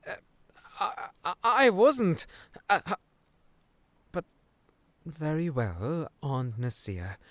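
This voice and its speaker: A man's voice: a little high, huge pitch swings, takes it slowly, long pauses, dry recording, exaggerated voice. A man playing an eager, bashful, sleepy boy scholar in a fantasy audio roleplay, hesitant and politely formal. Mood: flustered, sleepy. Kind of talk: audio roleplay drama acting.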